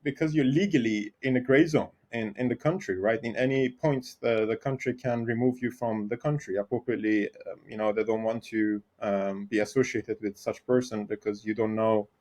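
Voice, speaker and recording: A man from Iran, a tech founder, talking through his story in a podcast interview, pausing often with ums and restarts. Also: fairly low pitch, steady tone, darkish tone. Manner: hesitant, many ums and restarts.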